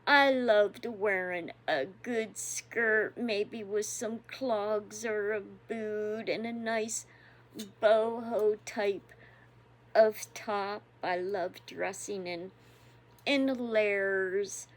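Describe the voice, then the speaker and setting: Slow-talking woman in her sixties. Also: mid-range voice, steady tone. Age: 60s. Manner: unhurried, chatty, chuckling often. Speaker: devotional host. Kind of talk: casual reflective chat.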